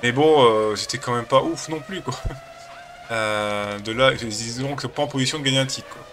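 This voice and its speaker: A man's voice: mid-range voice, normal speed, noisy background. A man with a cold chatting casually as a gaming channel host, rambling and hesitant while he plays a racing game. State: has a cold.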